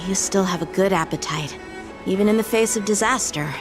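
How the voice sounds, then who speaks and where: A woman's voice: mid-range voice, brisk, natural voice. A woman voicing an earnest, dutiful young boy lieutenant in a video game, speaking formally and with determination. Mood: polite. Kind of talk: scripted video game voice acting. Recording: loud music behind the voice. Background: game music.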